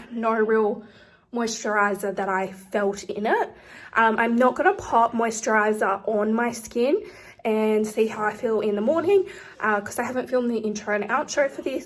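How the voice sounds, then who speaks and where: A woman reviewing a product in a casual vlog, talking in a chatty way with many ums and likes, sounding slightly nasal from a recent chest infection. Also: fairly high pitch. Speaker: beauty vlogger.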